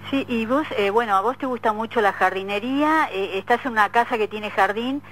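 A woman's voice: on the high side, brisk, a bit bright, slight background noise, poor audio. A woman with a Rioplatense Argentine accent, speaking as a radio host in a casual interview with a guest.

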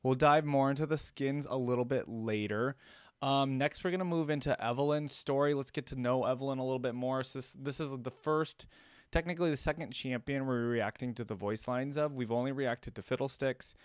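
A man's voice: mid-range voice, fairly quick, clear, resonant tone, dry recording. Young man reacting, dry close sound. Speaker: reaction video host. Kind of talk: casual reaction commentary, sometimes reading cards aloud.

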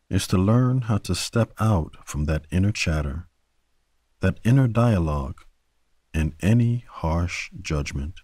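A man with a very low, mellow voice guides a bedtime meditation. He speaks gently and soothingly, letting each phrase settle before the next. The sound is close and very dry. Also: slightly rough, dry recording. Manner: gentle, soothing, unhurried delivery with long pauses. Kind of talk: guided sleep meditation narration.

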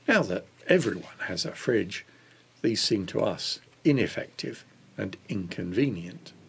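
A man in his sixties reading a devotional book aloud in a measured way. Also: fairly low pitch, creaky, breathy edge. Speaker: reader of a church Lent devotional.

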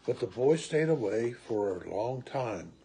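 A man in his sixties reading a children's picture book aloud at home with slow, deliberate, expressive phrasing. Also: medium pitch, a little hiss or hum. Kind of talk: read-aloud children's story. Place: small room at home, indoors.

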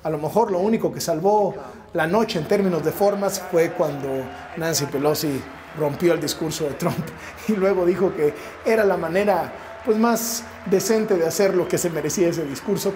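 A man's voice: a little high, normal speed, noisy background. A man in his forties with a Mexican accent, giving emphatic political commentary straight to camera and repeating phrases for effect. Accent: Mexican Spanish. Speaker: news commentator. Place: wood-paneled indoor set. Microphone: lapel mic, framed to camera.

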